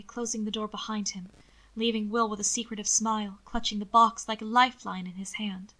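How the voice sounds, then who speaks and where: A woman's voice: a little high, pushed and bright. A woman reading scripted fiction as an audiobook narrator. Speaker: podfic narrator. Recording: occasional static crackle from microphone.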